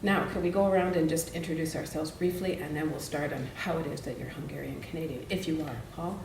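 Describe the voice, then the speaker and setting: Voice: mid-range voice, fairly even intonation, fast talker, gravelly. A moderator chairing a roundtable in a courteous, organizing manner. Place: meeting room roundtable. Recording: distant mic, echoey room, hiss.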